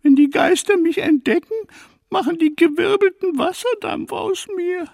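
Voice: very high-pitched, moderate pace, gravelly, darkish tone, no echo, studio quality. An actor voicing a timid, vain, mildly spooky ghost in a children's audio play, fussy and dramatically reluctant. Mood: anxious.

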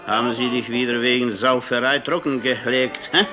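An actor playing a rowdy, knowing merchant sailor who talks colloquially and repeats himself, in a radio drama. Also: male voice, medium pitch, steady tone, moderate pace, close to an everyday voice. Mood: bantering.

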